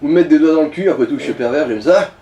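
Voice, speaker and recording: Mellow man, echoey and distant. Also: on the high side, very fast. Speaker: co-commentator advising the player. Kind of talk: casual gaming let's play chat. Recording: roomy, distant mic, faint noise.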